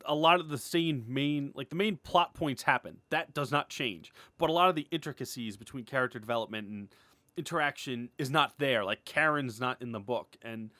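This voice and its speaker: A man's voice: mid-range voice, normal speed, pushed and bright, dry recording. A man talking casually and enthusiastically in an unscripted, rambling update, informal and peppered with "like", as an indie creator addressing followers. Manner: enthusiastic, gushing; rambling, informal, heavy use of "like". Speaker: indie animator, writer and series creator.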